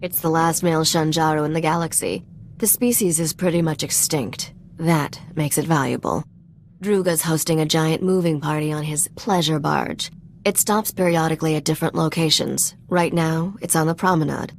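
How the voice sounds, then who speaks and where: A woman's voice: sits low, fairly even intonation, moderate pace, slight background noise. A woman playing a cool, confident smuggler's partner in a video game cutscene. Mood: cool, assured. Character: confident worldly young female smuggler's partner, cool and sly.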